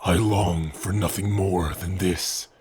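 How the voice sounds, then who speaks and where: A man voicing a big, gentle orc who is a devoted lover, speaking tenderly and earnestly in an intimate ASMR romance roleplay, with a husky voice. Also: low voice, fairly even intonation, exaggerated voice. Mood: loving, earnest. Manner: tender, earnest, slow and breathy with sighs.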